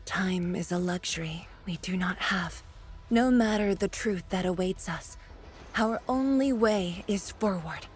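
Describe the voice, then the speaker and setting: Actress as a slow, solemn woman of authority. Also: fairly low pitch, faint music behind, natural voice. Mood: grave. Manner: grave, deliberate, hushed and breathy at times. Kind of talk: dramatic video game voice acting.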